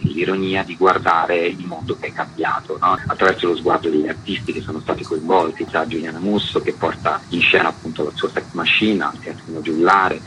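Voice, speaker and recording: A man giving wordy, spontaneous explanations as a festival organiser being interviewed. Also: deep voice. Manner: wordy, repeatedly uses a filler word. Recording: clearly noisy background.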